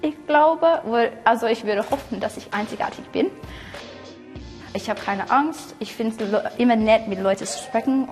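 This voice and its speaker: A woman's voice: fairly high pitch, normal speed, a little mellow. Australian-accented woman, early twenties, self-introduction in long reverb over music. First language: English. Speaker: student contestant.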